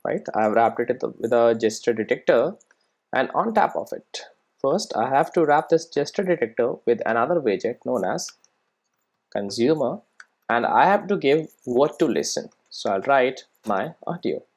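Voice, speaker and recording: A man giving an unscripted step-by-step software tutorial as an instructor, often checking that the listener follows with short tag questions. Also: mid-range voice, big pitch swings, normal speed.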